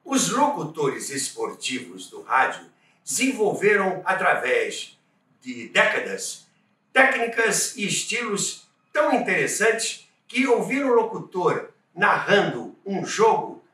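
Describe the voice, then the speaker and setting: An older Brazilian man with a high, bright voice presents at an unhurried pace. He speaks emphatically, like a practised storyteller addressing his viewers. The sound is roomy and set back from the microphone. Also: big pitch swings. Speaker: host of a radio history channel. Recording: roomy, distant from the mic. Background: music.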